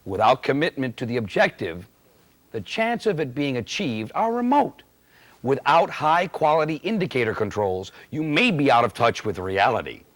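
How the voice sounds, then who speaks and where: A man's voice: mid-range voice, sing-song, normal speed, a bit bright. A man acting as a plain-spoken, emphatic presenter, giving scripted instruction in a corporate training film. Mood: instructive.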